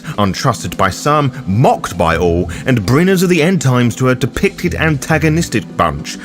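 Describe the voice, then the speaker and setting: A man hosting a guide, delivering scripted explanatory narration in a theatrical, florid manner. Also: medium pitch. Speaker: host of a roleplaying guide show.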